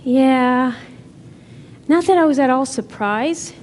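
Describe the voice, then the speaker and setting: A woman's voice: high voice, takes it slowly, a little mellow, a little hiss or hum. A woman playing an earnest, caring aunt who doubts herself, in natural dramatic dialogue. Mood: dejected.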